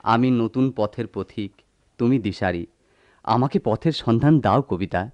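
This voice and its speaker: An actor playing a suave, flowery suitor, a company manager, with poetic theatrical delivery in a radio drama. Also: male voice, lowish voice, moderate pace, a little mellow, lo-fi sound, close to an everyday voice. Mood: romantic yearning. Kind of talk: melodramatic radio drama acting.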